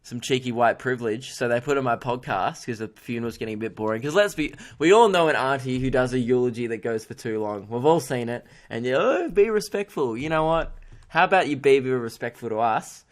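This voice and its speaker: A man's voice: mid-range voice, expressive, a little fast. A man, a comedian hosting his podcast in casual chat, joking, rambling and swearing freely. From Australia. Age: 20s.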